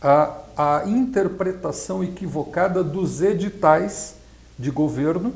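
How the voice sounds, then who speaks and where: A Brazilian man giving explanatory interview answers as a specialist, in a measured, didactic way. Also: a little high, gravelly, a little mellow. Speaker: accountant and project specialist being interviewed. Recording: echoey, roomy sound.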